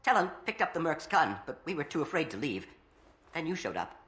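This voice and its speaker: Anxious civilian with lively pitch. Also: male voice, brisk. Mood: shaken, worried. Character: anxious civilian, protective of a younger brother. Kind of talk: video game voice acting.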